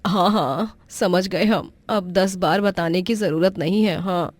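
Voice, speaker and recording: A woman's voice: on the low side, takes it slowly, dry recording. A woman playing a homely, outspoken elderly mother-in-law in a cartoon story. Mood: indignant.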